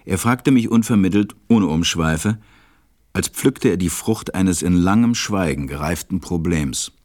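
A man playing a stranded pilot who narrates a radio play in a measured, reflective way. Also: sits low, expressive, darkish tone, dry recording, studio quality.

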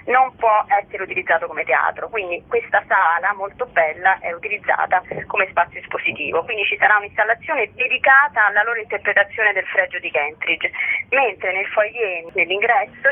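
A woman's voice: mid-range voice, husky. A woman being interviewed as a guest on the radio, explaining things in an informal, somewhat hesitant way over the phone. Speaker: exhibition curator interviewed as guest. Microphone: telephone. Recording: muffled, band-limited telephone call.

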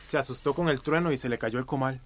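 An actor playing a hearty, earnest village activist in a radio drama. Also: male voice, mid-range voice, fast, a little hiss or hum, lightly acted. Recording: clear kitchen clatter behind.

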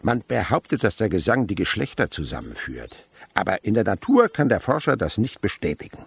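A man's voice: on the low side, huge pitch swings, creaky, husky, heavily acted. An actor in a German radio play playing an older male naturalist, dreamy and fond of insects, with a poetic, theatrical manner. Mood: musing, lively. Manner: poetic, musing, theatrical, breaks into slow singing.

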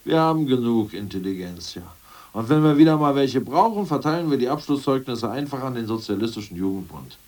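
A man playing a crude, scheming ousted party functionary in a radio play, boastful and plotting, muttering one moment and loud the next. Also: medium pitch, lively intonation, fairly quick, recorded from a distance, lightly acted. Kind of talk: 1980s radio play acting.

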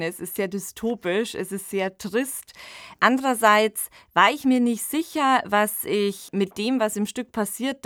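A woman's voice: mid-range voice, fairly bright tone, dry recording, clean studio sound. A woman hosting a podcast, talking through her analysis of a radio play in a conversational style. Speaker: podcast host.

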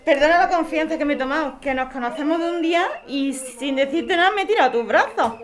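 A woman acting an impulsive, gushing activist with a flirty streak in a radio play. Her voice is high and has a Spanish accent. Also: quick pace, natural voice. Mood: elated, apologetic. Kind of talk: Spanish audio drama acting.

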